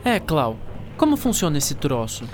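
A woman's voice: low-pitched, very animated, moderate pace, faint music behind. A woman playing an upbeat, helpful boy in a children's audio drama, talking colloquially. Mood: curious. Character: Marquinho, lively, helpful country-loving boy.

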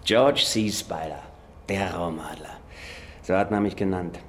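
Gruff wry ex-pilot junk dealer, low voice, sci-fi radio play, noisy background. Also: male voice, a little slow, fairly bright tone. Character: gruff male scrap dealer, former air force pilot. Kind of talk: radio drama acting. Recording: clear noise behind.

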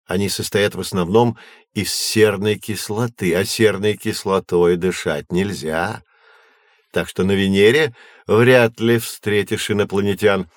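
A male actor plays a learned guide for children. His voice is fairly low and recorded dry and close. He explains patiently in a measured, didactic manner, as in an educational radio play. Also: fairly even intonation, no echo, lightly acted. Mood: patient, instructive. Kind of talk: scripted explanation in children's educational audio drama.

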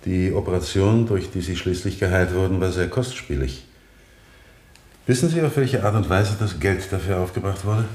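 A man's voice: low voice, normal speed, darkish tone, close to an everyday voice. A man acting an earnest, eloquent defense lawyer in a German radio play, speaking in solemn, emphatic courtroom oratory. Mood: gentle questioning. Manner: rhetorical, solemn, emphatic, clearly articulated. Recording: roomy studio courtroom echo of 1970 radio.

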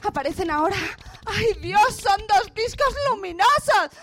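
An actress playing a horrified, breathless field reporter in a melodramatic radio drama parody of live news. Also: female voice, very high-pitched, animated, a bit bright, full character voice. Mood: awed alarm. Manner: melodramatic, halting, exclaiming.

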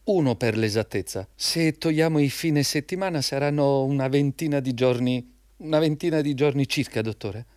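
An actor in an Italian radio play playing an anxious, deferential job applicant, apologetic and eager to please. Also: male voice, medium pitch, normal speed, a little mellow, dry recording, clean studio sound, close to an everyday voice. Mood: meek, defensive.

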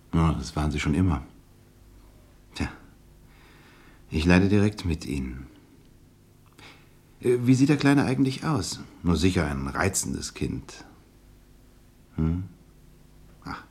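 An actor playing an effusive, ingratiating bachelor businessman in a German radio play, with a husky voice and a gushing, hesitant manner. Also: very deep voice, slow, pauses often, a little mellow, full character voice. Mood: oily sympathy. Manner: gushing, hesitant, chuckling between phrases. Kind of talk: naturalistic German radio drama acting.

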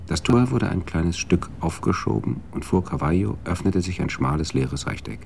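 A man narrating a radio drama in a measured, sober storytelling manner. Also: sits low, expressive, vocal fry, darkish tone, slight background noise.

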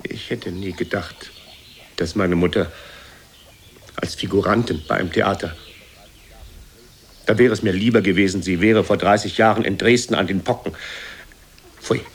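An actor playing a stern, disapproving grown son in his thirties in a theatrical radio drama, formal and severe, speaking deliberately. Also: sits low, a little hiss or hum, natural voice. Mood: disgusted.

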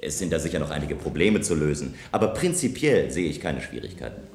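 An actor playing a self-assured visionary engineer in a German science fiction radio play, speaking in measured, persuasive statements. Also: male voice, very deep voice, very animated, background noise, full character voice. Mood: assured, insistent. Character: self-assured visionary male engineer proposing a bold tower. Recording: roomy, echoing studio radio play sound.

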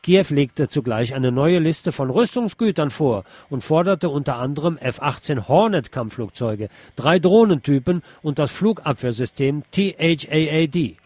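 A man hosting a radio show, reading newspaper articles aloud in a measured way and adding sarcastic remarks of his own. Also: fairly high pitch, expressive, darkish tone, no room sound. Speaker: radio host.

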